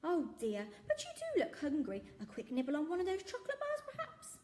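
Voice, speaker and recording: A woman voicing a big, jolly, kind-hearted shopkeeper who fusses warmly and scolds softly in a storybook reading. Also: high voice, wildly swooping pitch, fairly quick, pitched above the performer's own voice. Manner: warm, fussing, softly scolding. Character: big jolly kindly male shopkeeper, sentimental.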